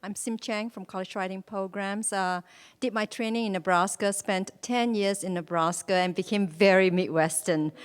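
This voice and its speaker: A woman workshop participant sharing her experience, halting at first, then animated. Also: medium pitch, smooth. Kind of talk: spontaneous sharing in a group. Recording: hall reverb, distant mic.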